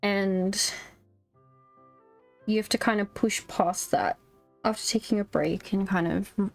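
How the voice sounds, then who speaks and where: A woman's voice: mid-range voice, fairly even intonation, measured pace, soft background music. A woman chatting casually as a game streamer, musing aloud in an informal, offhand commentary.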